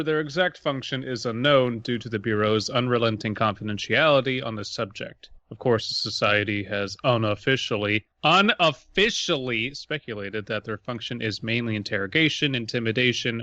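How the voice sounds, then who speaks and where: A man's voice: medium pitch, normal speed, hardly any pauses, pushed and bright, dry recording, muffled recording. A man co-hosting a podcast, mixing reading aloud with casual, joking chat. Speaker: podcast host.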